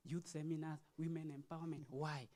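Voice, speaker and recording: A man's voice: a little high, little pitch movement, a little fast, mellow. Kenyan man speaking earnestly at a forum. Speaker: forum participant. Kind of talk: impromptu speech at a youth forum.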